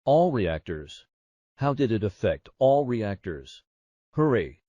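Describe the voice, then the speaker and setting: A man's voice: on the low side, sing-song, slow, background noise. A man playing an anxious, obedient nuclear plant worker in an amateur audio drama, on a dull lo-fi recording. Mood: anxious, urgent.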